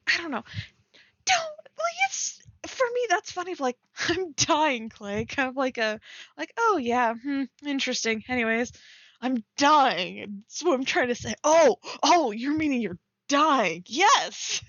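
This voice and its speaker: Higher-pitched woman, dry lo-fi mic. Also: a bit bright, no room sound. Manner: giggly, breaks off reading to comment. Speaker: streamer reading fan fiction aloud. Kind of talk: casual read-aloud with running commentary.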